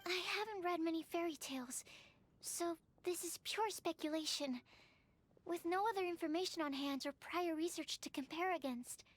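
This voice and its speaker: A woman's voice: extremely high pitch, normal speed. A woman voicing a timid, bookish, cautious young female researcher in a video game, tentative and precise in her speech. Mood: unsure. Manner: tentative, precise, self-effacing.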